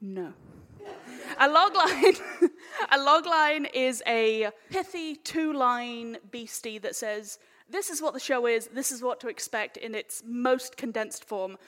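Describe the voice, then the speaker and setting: A woman's voice: on the high side, animated, slow, a bit bright. A woman chairing a live panel informally, with a jokey, cheeky manner. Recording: echoey hall with long reverb.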